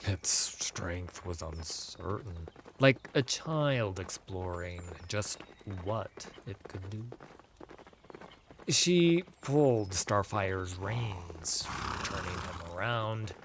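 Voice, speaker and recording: A man narrating a fantasy audiobook in a theatrical, measured storytelling style. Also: low-pitched, animated, slight background noise. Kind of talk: dramatic scripted fantasy narration.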